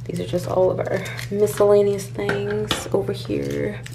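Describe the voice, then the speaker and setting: A woman's voice: mid-range voice, huge pitch swings, unhurried. A young adult woman chatting casually to a vlog camera, thinking aloud informally as she tidies at home. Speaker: home vlogger. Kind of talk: casual vlog chat while tidying. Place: small indoor home rooms: closet, bedroom, bathroom. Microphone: handheld or tripod vlog camera. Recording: clear background noise with handling clicks.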